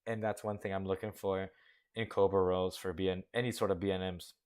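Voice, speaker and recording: A man's voice: low voice, level tone, a little fast, a little mellow, clean tone. A young man hosting a casual contest review, rambling through frank critique with many fillers. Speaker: host judging a coaster-building contest. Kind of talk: casual commentary over gameplay.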